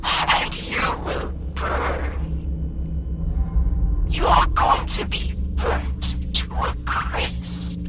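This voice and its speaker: A woman playing a menacing, fiery jack-o'-lantern animatronic monster in a horror game, taunting in a slow, breathy voice. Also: fairly high pitch, heavy reverb, full character voice. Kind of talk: scripted horror video game villain lines.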